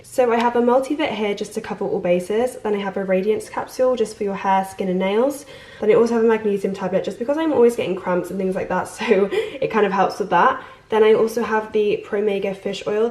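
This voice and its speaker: A woman's voice: mid-range voice, darkish tone, echoey room. A young woman in her twenties from the UK, stuffy-nosed from a cold, chatting casually through her morning routine. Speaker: lifestyle vlogger. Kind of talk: casual vlog voice-over.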